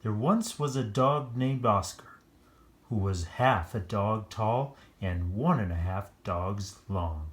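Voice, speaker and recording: A children's story-time reader giving an animated picture-book read-aloud, playful, with chatty asides and exclamations. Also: mid-range voice, takes it slowly.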